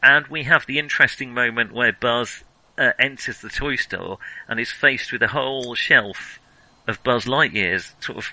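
Male podcast host, crisp-edged and very bright, recorded dry; thoughtful, conversational film discussion. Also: mid-range voice, moderate pace, no room sound, poor audio.

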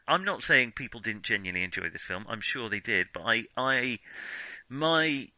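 Bright, forceful man chatting about films. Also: mid-range voice, expressive, normal speed, no room sound, rough recording. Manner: hesitant, hedging, self-correcting. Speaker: film podcast co-host. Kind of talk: casual film discussion.

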